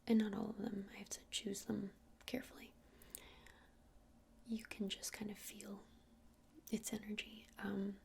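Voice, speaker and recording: A woman playing a gentle crystal-shop witch in an ASMR roleplay, whispering breathily and explaining things unhurriedly with hesitant pauses. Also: mid-range voice, little pitch movement, a little hiss or hum. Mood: soothing, earnest. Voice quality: breathy whisper with creaky edges.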